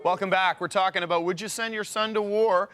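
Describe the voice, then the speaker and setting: A man's voice: sits high, fairly even intonation, fairly quick, pushed and bright, soft background music. A Canadian man hosting a casual panel talk show.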